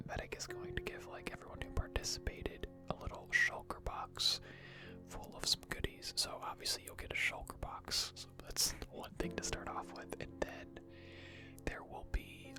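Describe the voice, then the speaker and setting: Casting: hushed ASMR game streamer, whispered and unhurried casual commentary, loud music underneath.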